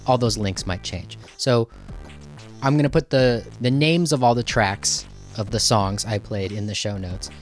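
Male host, mid-pitched, casual off-the-cuff podcast delivery with halting asides, music in the background. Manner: conversational, halting, rambling asides. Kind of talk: casual unscripted podcast sign-off to listeners.

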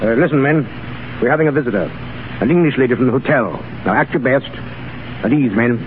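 An actor playing a gruff, hearty, condescending military commandant in 1930s radio style. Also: male voice, medium pitch, lively intonation, brisk, lightly acted. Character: bluff, jovial, condescending Legion commandant. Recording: tinny, noisy 1930s radio recording.

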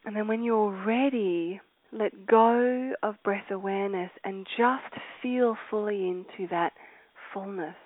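A woman guides a meditation at an unhurried, drawn-out pace, her soothing voice sometimes sinking to a whisper. The sound is dry and close, with a narrow, tinny, phone-like band. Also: medium pitch, dry recording. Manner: slow, soft and soothing, drifting into whispers.

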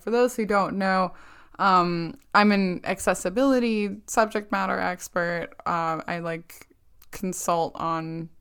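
Slow-talking, smooth-voiced young woman. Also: mid-range voice, fairly bright tone. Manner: unhurried, many fillers like "um" and "like". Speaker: podcast co-host. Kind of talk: casual chat. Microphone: video call.

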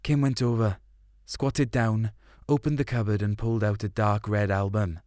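A man's voice: lowish voice, steady tone, normal speed, darkish tone, dry recording, studio quality. A man reading a first-person story in a colloquial, melancholic way. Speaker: short story narrator.

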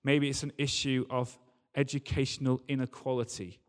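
A man's voice: mid-range voice, flat delivery, moderate pace, clean tone. A man preaching a church sermon in an earnest, emphatic way, repeating key phrases. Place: large reverberant church or hall. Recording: long hall reverb.

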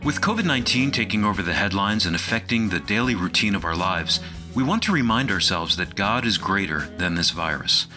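A man's voice: on the low side, animated, a little fast, music in the background. A man reading a scripted promotional announcement as a radio announcer, carefully spelling out details for listeners.

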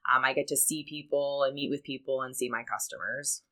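A woman's voice: very deep voice, steady tone, pushed and bright. A woman, answering as an upbeat guest in a podcast interview. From Peru, Illinois, United States. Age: 30s. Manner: upbeat, quick to laugh. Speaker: podcast guest, small business owner and insurance agent. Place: studio in an office.

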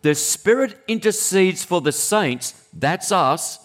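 A man preaching a sermon in a conversational, animated way, breaking into sudden high exclamations. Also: slow pace.

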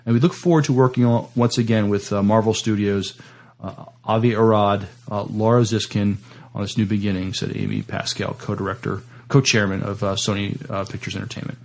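An American man co-hosting a casual entertainment news chat, with a halting delivery and frequent repeated words. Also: lowish voice, fairly even intonation, gravelly. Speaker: podcast co-host.

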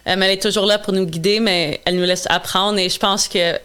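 A woman's voice: medium pitch, steady tone, fairly quick, a bit bright, smooth. A woman in her twenties whose first language is English, answering interview questions earnestly with frequent hesitations. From Quebec. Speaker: guest, charity founder.

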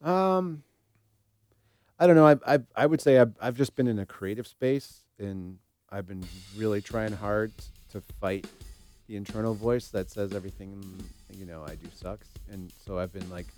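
A man's voice: on the low side, moderate pace, darkish tone, faint music behind. A man co-hosting a podcast in a casual, informal way, thinking aloud with some hesitation. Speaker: podcast co-host. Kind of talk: casual chat.

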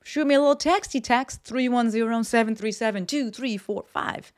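A woman's voice: a little high, normal speed. Blunt, swearing podcast host, woman. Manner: blunt and profane, lots of fillers. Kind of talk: casual solo podcast talk. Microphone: desk microphone.

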